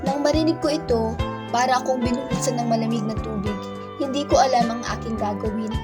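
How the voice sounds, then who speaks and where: A woman's voice: fairly high pitch, steady tone, fast, close to an everyday voice. A woman playing a young woman solemnly recounting a hard childhood as narration in a radio drama. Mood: fearful. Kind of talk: scripted first-person radio drama narration. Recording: loud music bed under voice.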